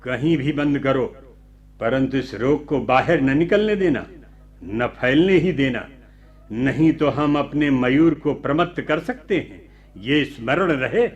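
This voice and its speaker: An actor playing an unyielding cult high priest in a radio play, solemn and imperious. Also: male voice, lively intonation, slow pace. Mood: cold, imperious. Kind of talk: Hindi radio play acting. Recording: dull, echoey studio recording.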